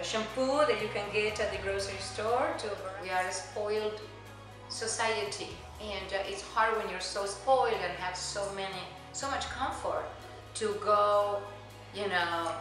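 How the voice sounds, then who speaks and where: Argentine-accented woman in a very echoey room. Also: medium pitch. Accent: Argentine Spanish. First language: Spanish. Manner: chatty, loose, sprinkles "you know". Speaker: immigrant interviewee. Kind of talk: casual oral history interview. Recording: very echoey room with music behind.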